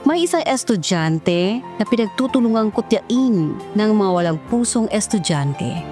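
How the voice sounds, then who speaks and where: Woman, Filipino accent, radio drama host, scripted narration, medium pitch, prominent background music. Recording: loud music under the voice. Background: dramatic program music.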